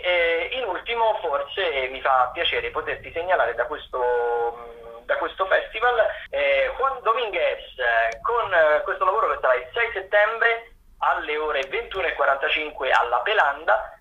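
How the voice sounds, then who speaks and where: Male radio correspondent, slightly high register, halting improvised listings delivery, heard through a phone connection. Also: pushed and bright. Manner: hesitant, searching for words, repeating himself. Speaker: theatre correspondent on a radio show. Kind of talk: spoken event listings, semi-improvised. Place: calling in to a radio programme by phone. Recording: narrow, tinny phone line.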